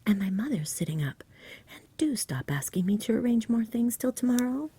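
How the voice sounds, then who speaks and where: A woman's voice: fairly high pitch, very animated, fairly quick, warm tone, pitched above the performer's own voice, heavily acted. Woman as a tired, whispering, grumbling boy. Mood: tired, whining. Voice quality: whispered, breathy. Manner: wailing, complaining.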